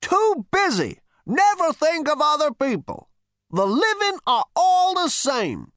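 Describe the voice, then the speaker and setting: Storybook ancient alien patriarch: very high, screeching rasp, scornful, snapping, stretching words for emphasis. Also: male voice, sing-song, bright, forceful tone, no echo, clean studio sound, exaggerated voice. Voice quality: screechy, rasping. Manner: snapping, scolding, drawn-out emphasis. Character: tiny ancient two-headed alien great-grandfather, irritable and scornful.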